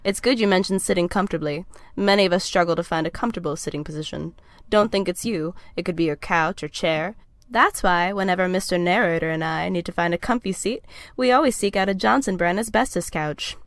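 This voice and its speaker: A woman playing a chipper sponsor pitchwoman in a parody of old radio ads, with polished salesmanship. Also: brisk, no echo, lightly acted. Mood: chipper, selling. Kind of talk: parody vintage radio advertisement.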